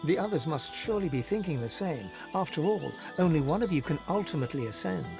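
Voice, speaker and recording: Expressive fantasy game storyteller. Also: male voice, on the high side, fast, vocal fry, music in the background. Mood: grave, suspenseful. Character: solemn male storyteller of a fantasy adventure. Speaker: narrator. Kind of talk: dramatic second-person fantasy game narration.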